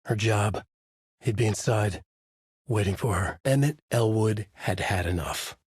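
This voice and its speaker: A man narrating a dark thriller game as a brooding, haunted writer, in a deliberate, literary, dramatic delivery. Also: on the low side, husky, no room sound, clean studio sound. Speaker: voice actor playing a writer protagonist who narrates.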